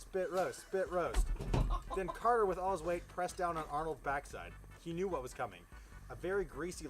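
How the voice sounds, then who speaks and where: A man casually reading a story aloud outdoors, stumbling over words and breaking off into informal, profane asides. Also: mid-range voice. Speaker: host reading fan fiction aloud. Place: outdoors beside a fire.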